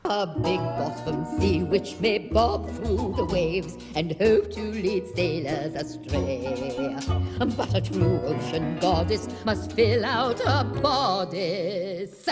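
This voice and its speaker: A vain showgirl siren in an animated musical number. The delivery is grandiose and drawn out, ringing with long hall reverb over loud music. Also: lowish voice, slow. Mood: showy, alluring. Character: vain theatrical showgirl singing as sea siren.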